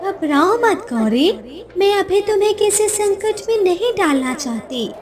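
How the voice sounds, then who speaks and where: A woman voicing a motherly talking lioness in a cartoon story, tender, mysterious and slowly reassuring. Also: extremely high pitch, expressive, a little hiss or hum, pitched above the performer's own voice.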